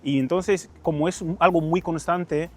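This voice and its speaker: A man's voice: fairly high pitch, fairly even intonation, normal speed, a little mellow, slight background noise. A man from Equatorial Guinea gives casual interview answers, often re-enacting bits of conversation. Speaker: documentary interviewee. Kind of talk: casual interview talk with acted-out dialogue. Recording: music under the voice.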